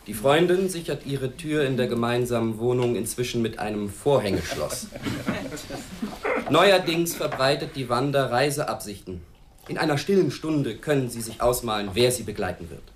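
An actor playing an impatient police chief in a radio drama, curt, sardonic and commanding. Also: male voice, mid-range voice, expressive, moderate pace, slight background noise, close to an everyday voice. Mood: stern, frustrated. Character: impatient, authoritative police chief briefing his squad. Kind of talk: German radio drama acting.

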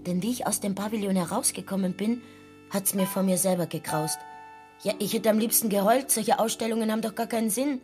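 A woman's voice: mid-range voice, steady tone, a little fast, warm tone, faint music behind, close to an everyday voice. An actress playing a chatty, over-familiar streetwalker down on her luck in a radio drama, rambling on. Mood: chatty, plaintive.

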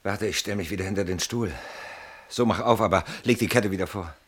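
A man's voice: low-pitched, fairly quick, a bit bright, a little hiss or hum. A male actor playing an anxious, defiant middle-aged man in a German radio play, brooding and self-justifying. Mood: tense, determined.

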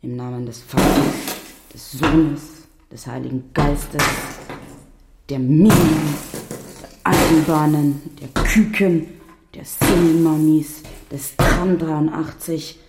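A man's voice: fairly high pitch, fairly even intonation, slow pace, heavy background noise. A man reading scripted literary narration as a storyteller.

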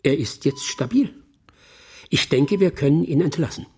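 Clipped male professor, very expressive pitch. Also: normal speed, slightly rough. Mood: matter-of-fact. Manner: clipped, authoritative. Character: brusque, rational male surgeon-professor, impatient and dismissive. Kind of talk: radio drama acting.

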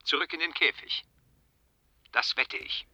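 A man's voice: fairly high pitch, unhurried, bright, forceful tone, breathy edge, full character voice. An actor playing a shrewd, coolly scheming male investigator in a radio drama, pointed and insinuating. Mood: sly, probing.

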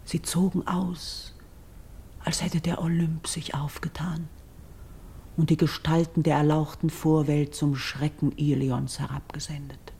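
An actress playing a grave, noble young priestess in a classical verse radio drama, speaking with solemn, measured dignity. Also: female voice, sits low, flat delivery, dark tone, husky, heavily acted. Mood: solemn, wistful.

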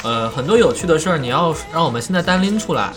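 A man's voice: on the high side, lively intonation, fast, music in the background. A man, a voice actor, telling anecdotes in casual chat, rambling and correcting himself as he goes. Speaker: voice actor guest.

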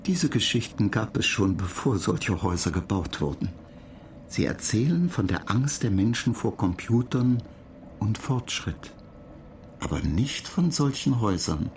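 Pedantic ship computer, gravelly, detached, terse, radio drama. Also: male voice, fairly low pitch, big pitch swings, unhurried, darkish tone, a little hiss or hum. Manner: dry, lecturing, terse.

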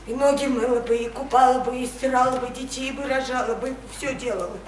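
A woman's voice: sits high, steady tone, fairly quick, natural voice. An actress playing a naive, lonely provincial young woman in a radio play, plaintive and insistent like a child. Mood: dreamy yearning. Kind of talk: theatrical Russian radio play acting. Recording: roomy, distant stage sound, faint noise.

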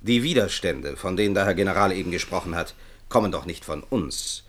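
Low-voiced, businesslike government minister. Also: male voice, expressive, fairly quick, a bit bright. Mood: defensive, insistent. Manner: formal, emphatic, argumentative. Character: objecting male government minister, businesslike and defensive. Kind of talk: German radio play acting.

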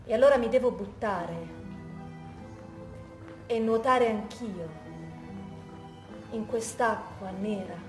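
A woman's voice: medium pitch, slow, long pauses, faint music behind, close to an everyday voice. An actress playing a haunted woman who recalls tragic years and recurring nightmares in a reflective theatrical monologue. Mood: anguished remembering. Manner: reflective first-person storytelling. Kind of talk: theatrical monologue from oral testimony. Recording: echoey room with background noise.

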